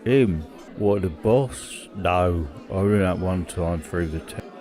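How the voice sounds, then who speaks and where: A man's voice: deep voice, slow pace, crowd noise. A man acting a middle-aged, seasoned criminal with a British accent, talking in a matter-of-fact, colloquial way in a drama. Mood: world-weary, casual. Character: seasoned, hard-drinking criminal old hand, casually cold. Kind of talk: naturalistic audio drama dialogue.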